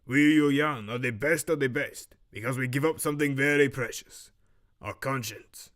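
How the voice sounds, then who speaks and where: A man voicing a stern master archery instructor with a muddled, Romanian-like Eastern European accent, lecturing in a measured, severe way for an audio drama. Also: mid-range voice, fairly bright tone, natural voice. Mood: cold, solemn. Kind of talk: fan audio drama character acting.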